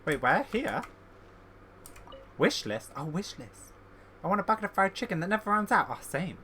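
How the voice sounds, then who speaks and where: Woman, low bright voice, casual gameplay streamer, chatty and slangy, faint noise behind. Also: very animated, moderate pace. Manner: chatty, slangy, reacting aloud. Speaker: video game streamer.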